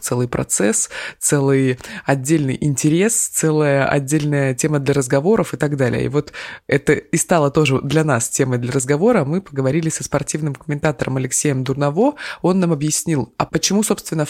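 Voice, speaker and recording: A man's voice: on the high side, darkish tone, no echo, clean studio sound. A man co-hosting a news discussion show, explaining topics steadily and putting questions to a guest.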